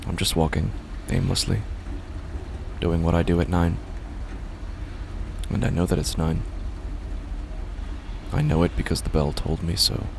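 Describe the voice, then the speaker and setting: An actor playing a weary, confused man who clings to rigid rules, narrating a horror monologue in a halting, repetitive, gravelly voice. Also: low voice, flat delivery, background noise. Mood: weary, detached. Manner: hesitant, halting, brooding, repeats himself. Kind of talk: first-person horror audio drama monologue.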